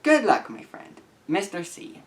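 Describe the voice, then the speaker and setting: A young man of about eighteen reading messages aloud and commenting to camera as a YouTuber, with an earnest, deliberate, halting delivery. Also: high-pitched, wildly swooping pitch, recorded from a distance. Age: young adult, about 18.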